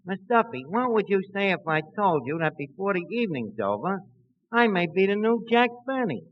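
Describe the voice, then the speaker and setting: Small-time scheming tavern manager, uneducated wise-guy talk, high and lively, slightly noisy vintage radio recording. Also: fairly quick, dull audio. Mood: bossy, boastful. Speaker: comic lead in a radio sitcom. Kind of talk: 1940s radio comedy acting. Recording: old 1940s radio recording, light noise.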